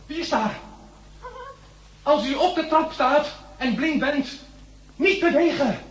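An actor playing a shaken but helpful hospital patient in a 1960s radio drama, hesitant and urgent. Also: male voice, extremely high pitch, slow, highs cut off. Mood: alarmed. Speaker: lead actor and narrator. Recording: 1969 radio recording, faint noise.

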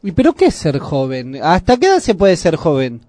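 A man's voice: fairly high pitch, huge pitch swings, normal speed, dark tone. An Argentine man hosting a radio show, chatting casually at a studio microphone. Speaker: radio host.

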